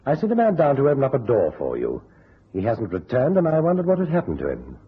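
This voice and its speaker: An actor playing a businesslike, concerned agency boss in an old radio detective drama, direct and politely insistent. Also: male voice, mid-range voice, huge pitch swings, quick pace, full character voice. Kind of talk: golden-age radio detective acting. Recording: muffled, rough old radio recording.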